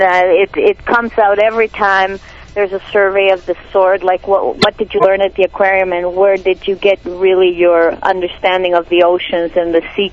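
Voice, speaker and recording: A woman from Peru, a native Spanish speaker with a Peruvian Spanish accent, speaking as an activist guest in a radio interview, earnest and indignant with frequent restarts. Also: medium pitch. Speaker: animal rights activist guest. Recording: dull lo-fi radio broadcast.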